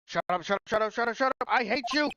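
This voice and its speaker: A man's voice: very high-pitched, animated, quick pace, pushed and bright, no echo, highs cut off, pitched above the performer's own voice, heavily acted. A man voicing a panicky, angry male witness in a courtroom video game, stammering and ranting. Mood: enraged, frantic.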